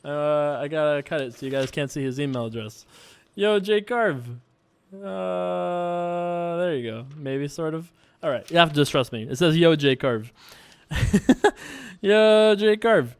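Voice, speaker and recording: A man's voice: a little high, lively intonation, unhurried, clear, resonant tone. A man with an American accent in casual, rambling chat, hosting a poker show and answering viewer questions in a conversational way. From Long Island, New York, United States. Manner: rambling, conversational, frequent 'you know'.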